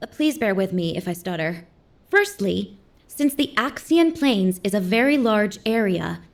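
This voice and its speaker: A woman's voice: mid-range voice, natural voice. A woman playing a young, nervous cadet adjutant in a video game, formal, dutiful and a bit hesitant. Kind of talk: video game dramatic acting.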